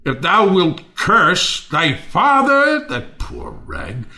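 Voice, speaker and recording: A man's voice: on the high side, huge pitch swings, very slow, pushed and bright, exaggerated voice. An actor playing a bitter, ruined Athenian nobleman turned misanthrope in a Shakespearean audio play, theatrical and scornful. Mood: contemptuous.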